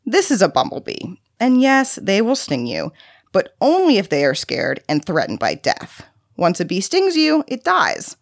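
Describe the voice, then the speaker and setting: A woman voicing a patient female carer who coaxes and soothes a volatile child, explaining things deliberately in a narrated story. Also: medium pitch, wildly swooping pitch, gravelly, dry recording, studio quality, full character voice. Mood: coaxing.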